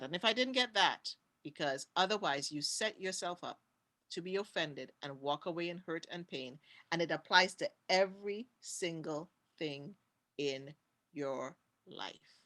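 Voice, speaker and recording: A woman's voice: low-pitched, unhurried, a bit bright. A woman with a Trinidadian accent speaking passionately on a conference panel, repeating her key words for emphasis over a video call. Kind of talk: impassioned talk on a panel.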